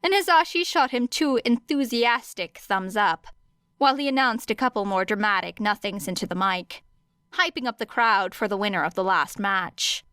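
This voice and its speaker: A woman narrating fiction as an audiobook, with expressive storytelling. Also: medium pitch, moderate pace, clean tone, no echo, clean studio sound.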